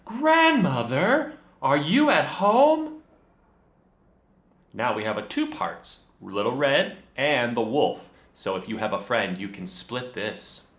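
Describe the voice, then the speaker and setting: A man with a bright, animated voice guides young readers through a story. He talks to the learner directly and narrates clearly at an unhurried pace, in a room with noticeable echo. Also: on the low side. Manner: expressive, addresses the learner directly. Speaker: children's reading teacher, storyteller. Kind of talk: encouraging read-along lesson with calm, clear story narration. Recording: echoey room.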